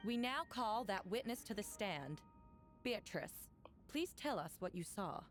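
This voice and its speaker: A stern female prosecutor from a video game, voiced by an actress. She speaks formally and deliberately at a medium pitch. Faint music sits behind the voice. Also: expressive, close to an everyday voice. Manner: formal, measured.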